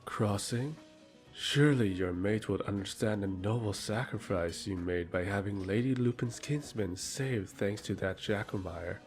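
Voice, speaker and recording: A haughty noble lord with a low voice in an audio drama. He is sardonic and pompous, handing out lectures in formal, measured phrases over music. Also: a little mellow.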